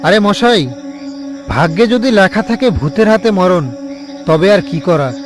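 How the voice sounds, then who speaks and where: A high-pitched, anxious male traveller from a cartoon ghost story, acted by a man. He is stubborn and testy, ready to plead, with music clearly playing behind him. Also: animated, measured pace. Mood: irritated, stubborn.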